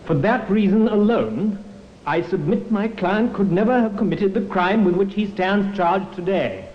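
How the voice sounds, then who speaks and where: An actor playing an earnest defence barrister in an old radio courtroom drama, formal, precise and persistent. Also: male voice, high voice, measured pace. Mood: earnest pleading. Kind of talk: 1950s radio drama acting. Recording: muffled, hissy old radio recording.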